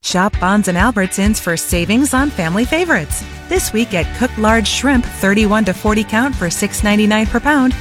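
A woman reading a grocery ad briskly and brightly. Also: medium pitch, lively intonation, over music. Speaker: grocery ad voice-over. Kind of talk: scripted ad read.

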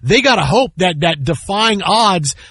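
A man's voice: high-pitched, animated, measured pace, a bit bright, husky, no room sound. A man hosting sports talk radio, giving casual, opinionated commentary in an emphatic way with repetition and rhetorical questions. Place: radio studio.

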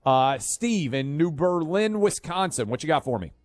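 Opinionated talk radio host, dry studio sound. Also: male voice, fairly high pitch, big pitch swings, normal speed, no echo. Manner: conversational, opinionated, fillers and restarts. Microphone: studio mic.

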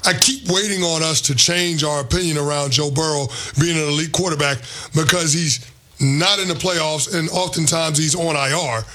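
A slightly hoarse man co-hosting a sports talk show, laying out questions and comparisons in casual chat. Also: fairly high pitch, fairly even intonation, normal speed, sharp tone. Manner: poses questions and lays out comparisons.

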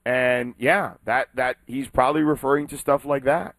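A man co-hosting a sports radio show talks at a middle pitch, stumbling over his first words before he settles into his argument. The sound is dry and close. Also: steady tone, normal speed, a bit bright, dry recording. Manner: hesitant, stammering restarts before making his point. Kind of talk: morning sports radio debate.

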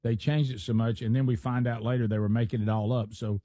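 A male talk radio host reads a news story aloud and stops often to comment on it. His voice is warm and mellow. The sound is dry and close. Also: mid-range voice, level tone, fast talker, no room sound. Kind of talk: casual talk radio banter.

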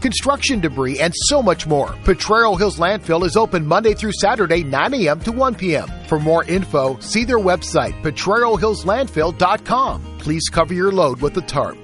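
A man reading a scripted radio ad in a brisk, matter-of-fact way. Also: sits high, fairly bright tone. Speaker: ad announcer.

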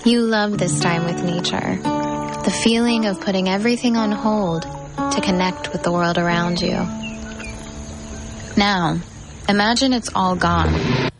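Female ad narrator, soothing and unhurried, loud music under. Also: mid-range voice. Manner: calm, soothing, measured. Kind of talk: scripted ad narration.

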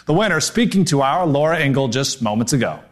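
A man anchoring the news in a crisp scripted delivery. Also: medium pitch, lively intonation, quick pace, soft background music. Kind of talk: news reading.

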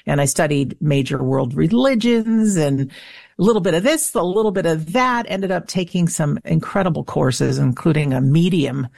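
A middle-aged woman with a low voice and noticeable vocal fry. She talks in an earnest, emphatic way, like a talkative interview guest, and the recording is dry and close. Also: animated, normal speed, a little mellow, no room sound. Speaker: interview guest, afterlife show host and author. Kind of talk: conversational radio interview, personal storytelling.

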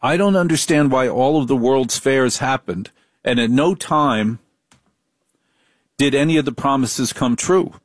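A man's voice: mid-range voice, unhurried, no echo, clean studio sound. An older man hosting a late-night radio talk show, bantering in a wry, joking way.

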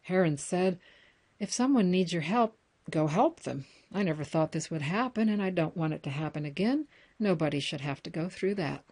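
An American woman reading news articles and book excerpts aloud as a reader, in a measured, plain announcer-like way. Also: lowish voice, lively intonation, a little mellow.

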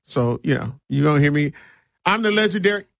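Higher-pitched American man, creaky radio host. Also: animated, brisk, fairly bright tone, no room sound, clean studio sound. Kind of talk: casual on-air banter and event promotion. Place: radio studio.

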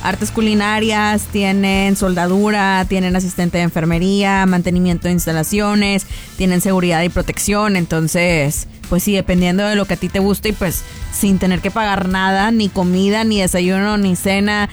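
A woman's voice: medium pitch, flat delivery, moderate pace. Thirtyish Mexican woman co-host, mid voice, upbeat chatter over light music. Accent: Mexican Spanish. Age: 30s. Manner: chatty, upbeat, lists details. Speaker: radio co-host. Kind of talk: casual radio banter.

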